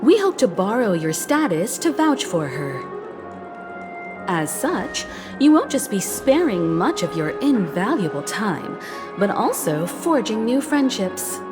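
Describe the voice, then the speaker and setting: A woman's voice: mid-range voice, big pitch swings, measured pace. A woman voicing a poised, persuasive navigator in a video game, speaking in a polished, diplomatic way. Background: game music.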